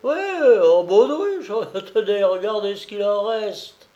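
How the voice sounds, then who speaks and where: Un mec qui fait une grosse foraine furieuse, voix suraiguë qui crie fort. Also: male voice, animated, slow, mellow, dull audio. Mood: mocking. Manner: shrill scolding shrieks. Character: huge puffing woman showman, furious and loud.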